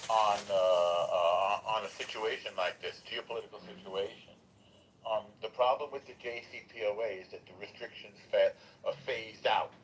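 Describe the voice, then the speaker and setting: A man hosting a political call-in discussion, slow and rambling with frequent halting pauses. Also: on the low side, a little hiss or hum, poor audio.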